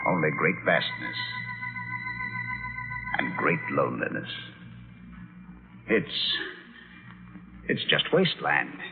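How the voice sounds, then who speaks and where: An actor playing an earnest, commanding spaceship captain and narrator in a 1950s radio drama, giving crisp orders and solemn narration. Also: male voice, very deep voice, wildly swooping pitch, slow pace, pauses often, full character voice. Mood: solemn, awed. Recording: vintage radio recording, narrow band, loud music.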